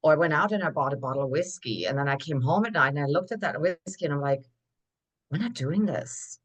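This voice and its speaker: A woman, a podcast guest telling her story in an animated, emphatic way, full of "like" fillers. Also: deep voice, fairly quick, husky. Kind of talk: conversational interview storytelling.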